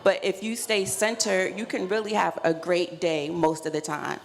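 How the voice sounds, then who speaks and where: A woman's voice: fairly low pitch, level tone, moderate pace. A woman speaking as a panelist in an earnest, affirming, reflective way. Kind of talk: reflective panel talk on self-care. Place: live panel event in a room. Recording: reverberant room with clear background noise.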